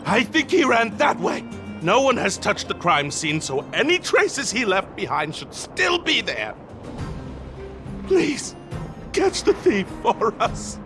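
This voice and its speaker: A man voicing a nervous, easily frightened night patrolman in a video game, stammering and halting as he talks. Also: high-pitched, wildly swooping pitch, music in the background, heavily acted. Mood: anxious, urgent.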